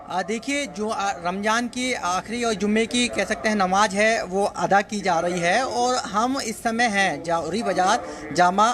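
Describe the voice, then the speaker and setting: A man reporting live for the news from the street, speaking in a halting, repetitive way with frequent hesitations. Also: sits high, a crowd in the background. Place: busy street market, outdoors.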